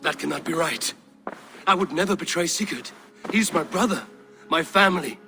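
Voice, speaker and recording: An actor playing a troubled, fiercely loyal Viking warrior in a video game, speaking in a halting, earnest way. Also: male voice, fairly high pitch, vocal fry, music in the background. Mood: defiant. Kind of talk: dramatic video game acting.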